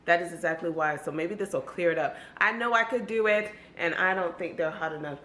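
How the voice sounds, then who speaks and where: A young woman with a slightly raspy voice, chatting excitedly to her viewers in a casual home vlog, filming from arm's length. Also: fairly low pitch, fairly quick, slight background noise. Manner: chatty, loud bursts of excitement. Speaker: vlogger. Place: home kitchen and dining table. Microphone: handheld camera at arm's length, later table camera. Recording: echoey room with background music.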